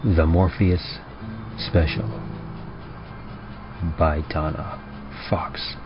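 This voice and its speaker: A man narrating horror in a dramatic old-time radio style, with a grave, deliberate and ominous delivery. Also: deep voice, steady tone. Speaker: horror story narrator and presenter. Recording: muffled, with prominent music behind. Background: music.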